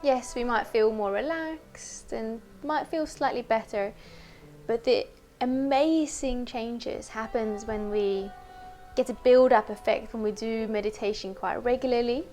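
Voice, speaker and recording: A woman teacher leading a guided meditation in a gentle, unhurried, conversational way. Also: a little high, soft background music.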